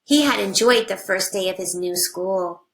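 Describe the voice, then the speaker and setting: A woman teacher reading a picture book aloud to young children, with simple deliberate phrasing and hushed asides. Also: medium pitch, low-quality recording. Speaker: first grade teacher.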